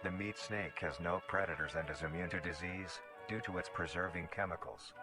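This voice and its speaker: A man reading scripted documentary-style narration in a formal, measured, explanatory manner. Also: low voice, fairly even intonation. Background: background music.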